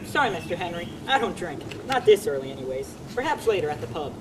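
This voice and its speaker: A woman playing an easygoing, loyal young male helper in a homeschool dramatic reading, earnest and gently teasing. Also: fairly low pitch, big pitch swings, fast talker. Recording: loud background noise.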